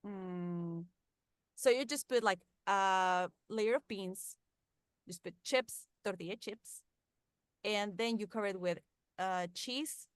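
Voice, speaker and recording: A woman with a Mexican Spanish accent talks in a friendly, chatty way, getting lively when something excites her. Her voice is smooth and resonant. The sound is dry and close, with no room around it. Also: mid-range voice, takes it slowly, no room sound. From northern Mexico. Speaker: voice actress, guest. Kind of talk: casual interview chat.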